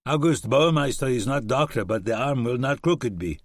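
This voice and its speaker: An earnest young German ex-soldier talks in halting, back-to-front English, as a male narrator puts him on. The voice sits a few steps above the narrator's own and comes across dry and close, with no room around it. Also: high voice, fairly bright tone, no room sound, voice pushed up. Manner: German accent, broken word order.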